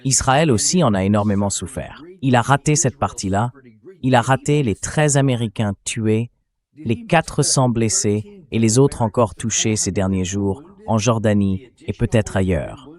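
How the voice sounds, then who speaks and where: A man's voice: on the low side, measured pace, darkish tone. A man hosting a political commentary show in a French translated voice-over, speaking critically with incredulous rhetorical turns.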